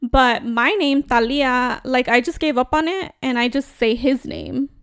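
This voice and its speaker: A woman co-hosting a casual podcast chat, talkative and animated, laughing often. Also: sits high, unhurried, clear, resonant tone, no echo, studio quality. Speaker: podcast co-host.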